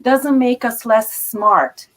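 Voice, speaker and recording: Encouraging woman host, slightly high voice. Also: slow. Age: middle-aged. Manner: encouraging, reassuring. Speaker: interview host. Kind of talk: supportive interview chat.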